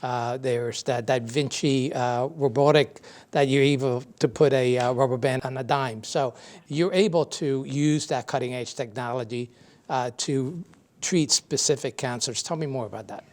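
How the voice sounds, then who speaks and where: A man hosting a TV interview show, asking relaxed questions with plenty of "uh" fillers. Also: medium pitch, normal speed. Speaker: TV show host.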